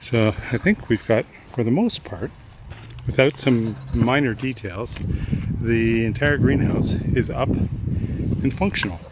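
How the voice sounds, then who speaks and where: A man's voice: mid-range voice, takes it slowly. An older man casually narrating a walkthrough of his homestead project, outdoors, talking into a handheld camera with plenty of ums and uhs. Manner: relaxed, hesitant with many fillers. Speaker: homesteading vlogger. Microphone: handheld camera at arm's length. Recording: dull lo-fi outdoor sound with clear wind noise.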